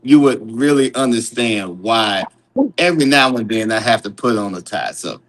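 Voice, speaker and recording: A man's voice: fairly low pitch, animated, moderate pace, fairly bright tone. A man co-hosting a sports talk show, joking around with stammering restarts. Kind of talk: casual banter.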